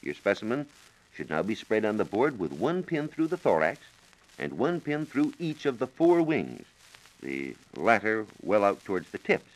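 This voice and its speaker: A man's voice: on the low side, big pitch swings, normal speed, low-quality recording. A man explaining science on a 1940s radio program in a precise, methodical, formally worded manner, on a vintage broadcast recording.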